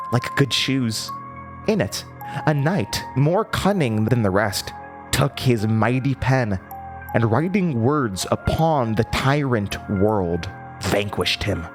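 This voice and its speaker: Horror audio drama: male actor as a disturbed, haunted narrator of a dark past, slow and theatrical, music prominent underneath. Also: mid-range voice. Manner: halting, theatrical, with nervous laughter and drops into whispers. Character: disturbed, haunted storyteller recounting a horrifying childhood.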